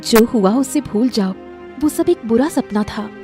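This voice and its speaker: A woman voicing a gentle, forgiving queen, soft and sincere, in a moral-story cartoon. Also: on the high side, a little slow, soft background music. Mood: relieved, tender. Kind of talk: animated Hindi moral-story dialogue.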